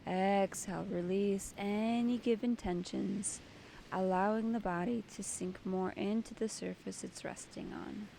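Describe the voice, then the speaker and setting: A woman leading a guided meditation as its host, giving soothing, deliberate instructions in short phrases. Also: medium pitch, fairly even intonation, vocal fry, warm tone, slight background noise, rough recording.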